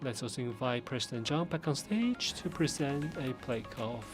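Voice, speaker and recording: A man giving simultaneous interpretation of conference speeches, measured and pausing often to restart. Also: medium pitch, animated, soft background music. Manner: measured, with frequent pauses and restarts.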